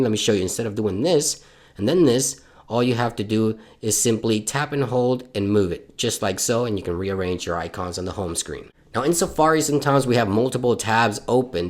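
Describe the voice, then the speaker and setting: A man from Puerto Rico, a bilingual Spanish speaker, hosting a tech channel and walking viewers through phone tricks step by step in an upbeat, instructional way. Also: mid-range voice. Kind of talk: tech tips walkthrough.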